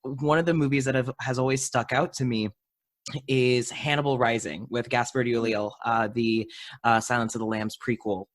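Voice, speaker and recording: A man's voice: medium pitch, little pitch movement, moderate pace. Male podcast host in casual conversation.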